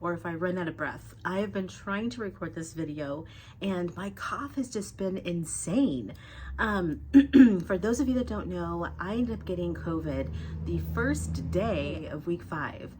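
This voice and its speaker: A woman's voice: on the low side, lively intonation, measured pace, darkish tone, a little hiss or hum. Congested woman chatting to viewers. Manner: chatty, talks straight to her viewers. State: congested, coughing from a cold. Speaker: vlogger. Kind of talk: casual weight loss update.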